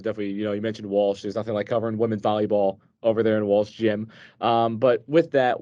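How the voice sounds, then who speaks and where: A man's voice: lowish voice, darkish tone, lo-fi sound. A young American man co-hosting a student sports radio show, casually putting questions to a guest. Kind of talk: casual interviewing.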